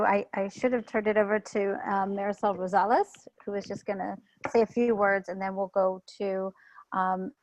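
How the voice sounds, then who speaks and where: A woman's voice: medium pitch, no echo, rough recording. Hesitant woman chairing a meeting. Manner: hesitant, filler-heavy. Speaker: committee chair.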